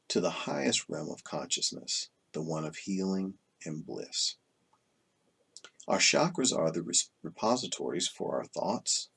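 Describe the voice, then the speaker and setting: Very deep, gravelly male book narrator. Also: a bit bright. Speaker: audiobook reader. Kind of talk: scripted narration, reading a self-help book aloud.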